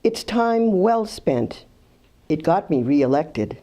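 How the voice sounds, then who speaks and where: A woman's voice: low voice, sing-song, slow, dark tone. A woman reading rhyming verse aloud. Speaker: reader of poems.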